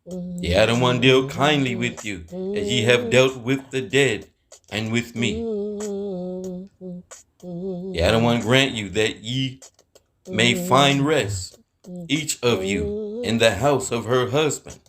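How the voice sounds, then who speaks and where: A man's voice: mid-range voice, measured pace, crowd noise, natural voice. A man voicing an old widowed mother-in-law, tender, solemn and grieving, in a scripture drama. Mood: tender blessing.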